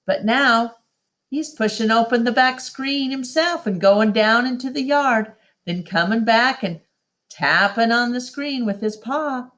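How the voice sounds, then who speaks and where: A woman reading a children's novel aloud in a deliberate storytime narration. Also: mid-range voice, fairly bright tone.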